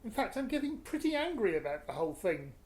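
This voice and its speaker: A British woman playing a blunt, indignant but protective parent in a radio play, with a brisk manner. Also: on the high side, very animated, a little mellow. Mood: cross, defensive. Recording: roomy, faintly noisy recording.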